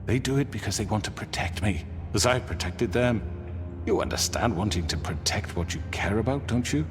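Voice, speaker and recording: An actor playing a smooth, manipulative crime boss in a video game, with measured, theatrical speechifying. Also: male voice, lowish voice, expressive, close to an everyday voice. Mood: calmly persuasive. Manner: measured, theatrical, silky self-righteous speechifying. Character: smooth, manipulative crime boss, self-justifying older man. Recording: reverberant hall sound under loud music.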